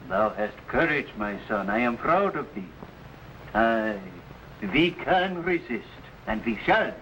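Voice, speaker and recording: A man's voice: mid-range voice, lively intonation, slow, pushed and bright. An actor playing a proud, resolute Swiss father in an old-time adventure serial, speaking in solemn, archaic formal language. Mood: grave, firm. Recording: noisy vintage broadcast recording.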